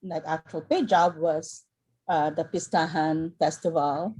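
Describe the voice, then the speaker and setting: A woman's voice: low voice, fairly even intonation, unhurried, a little mellow, a little hiss or hum, low-quality recording. A middle-aged woman from the Philippines, chatting casually on a video call, rambling with fillers and restarts. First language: Filipino. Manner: rambling, many fillers and restarts, laughs often. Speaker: workshop participant.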